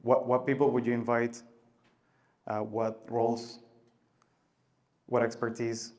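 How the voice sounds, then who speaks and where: Man giving a halting tech talk. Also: medium pitch, monotone. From Venezuela. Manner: halting, many filler sounds and restarts, loudness rising and falling. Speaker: conference speaker. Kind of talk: conference talk.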